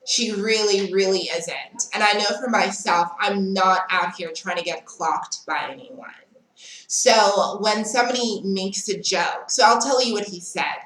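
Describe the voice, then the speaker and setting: A woman's voice: medium pitch, measured pace, a bit bright. A young South African woman telling a story in a casual vlog, chatty, frank and unbothered. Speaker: vlogger. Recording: echoey room.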